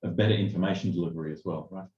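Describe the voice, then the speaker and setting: A man's voice: deep voice, fairly even intonation, racing. A man moderating a webinar, putting questions informally in a tentative, circling way. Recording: dull, roomy webinar call audio.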